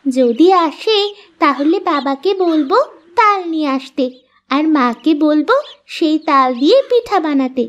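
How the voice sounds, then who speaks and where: A performer voices a young village girl in a cartoon fairy tale. She is sweet and eager. The voice sits extremely high and moves with lively swings. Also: unhurried, highs cut off. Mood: chatty.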